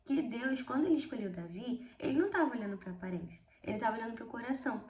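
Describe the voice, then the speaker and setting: A sixteen-year-old girl with a Brazilian accent, slightly hoarse from a cold, chatting through a casual Bible study vlog and often addressing her viewers. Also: on the high side, animated, fast, a little mellow, reverberant. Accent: Brazilian Portuguese. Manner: chatty, keeps addressing viewers, emphatic storytelling. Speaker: video host.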